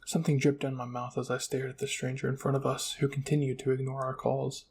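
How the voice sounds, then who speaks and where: Guy reading a first person story, raspy voice with vocal fry. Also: mid-range voice, monotone, fast, a little mellow. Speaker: roleplay narrator.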